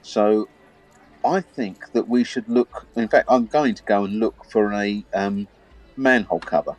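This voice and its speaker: A man's voice: lowish voice, unhurried, voice pushed down, natural voice, low-quality recording. A man voicing a naive, curious male adventurer who is easily amazed. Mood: plotting.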